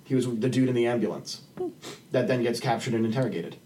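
A man's voice: medium pitch, quick pace, warm tone. A man chatting casually and profanely about films, rambling informally as a podcast panelist. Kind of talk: casual film discussion. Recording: distant from the microphone.

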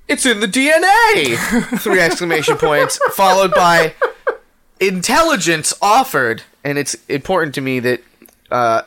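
Um homem, coapresentador de podcast, que lê em voz alta com um tom seco e impassível numa conversa cômica. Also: male voice, on the high side, pushed and bright, dry recording. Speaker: podcast co-host. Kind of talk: casual comedic chat, reading a dating ad aloud.

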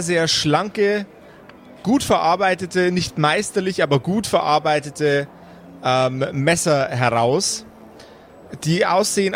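A man narrating an improvised tabletop game as game master, haltingly searching for his words. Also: on the high side, a little hiss or hum.

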